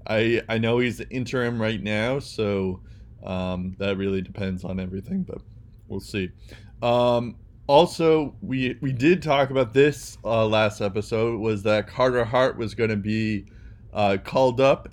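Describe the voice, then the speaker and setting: Hesitant low-voiced young man, podcast host. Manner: hesitant, many ums and restarts. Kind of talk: casual sports podcast chat.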